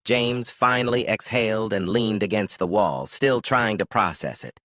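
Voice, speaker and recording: Bright-voiced man narrating a dramatic story. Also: fairly low pitch, normal speed, no room sound, clean studio sound. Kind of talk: scripted narration.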